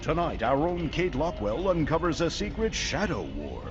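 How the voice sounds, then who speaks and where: A man's voice: on the high side, huge pitch swings, normal speed, dull audio, over music. A man playing a pompous American television news anchor in a video game, theatrical and self-important. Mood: bombastic. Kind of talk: parody newscast in a video game.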